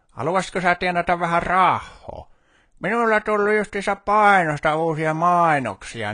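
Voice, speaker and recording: A man's voice: on the high side, pushed and bright, dry recording. A male actor plays a folksy game shopkeeper with a northern Finnish dialect. His speech swings widely up and down, teasing and grumbling with stammered starts. The recording is close and dry. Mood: coaxing. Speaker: video game shopkeeper character. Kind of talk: video game character dialogue.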